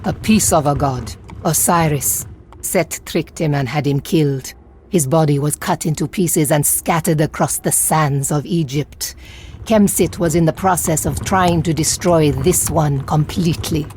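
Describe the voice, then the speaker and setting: An actress playing a proud, resolute warrior leader in a video game, speaking with solemn command. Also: female voice, deep voice, unhurried, darkish tone, a little hiss or hum. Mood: solemn, explanatory. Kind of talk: video game cutscene acting.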